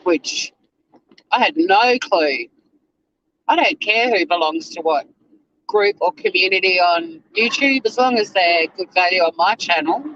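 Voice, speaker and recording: An Australian man hosting a casual livestream, chatty and animated, swearing freely, with a slightly whiny voice. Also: high voice, muffled recording, slight background noise. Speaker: livestream host. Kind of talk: casual livestream chat to viewers.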